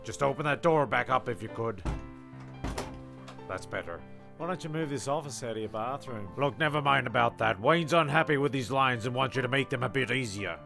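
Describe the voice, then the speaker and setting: A man's voice: medium pitch, expressive, fairly quick, vocal fry, over music. A man performing rambling comic storytelling and sketch dialogue in a dry, exasperated way. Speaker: narrator and writer of a comedy show.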